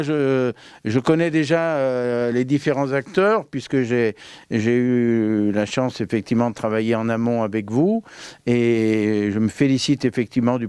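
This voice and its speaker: Brief: male local official, clear resonant tone, hesitant delivery with fillers. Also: mid-range voice, a little mellow, no echo, studio quality. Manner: hesitant, many fillers and restarts. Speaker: local community council president. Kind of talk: on-camera interview statement.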